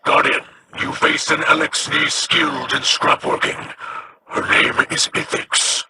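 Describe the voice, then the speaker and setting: An actor voicing a stern, dignified male alien elder in a video game, measured and formal. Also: a little high, flat delivery, pushed and bright, a little hiss or hum. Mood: grave.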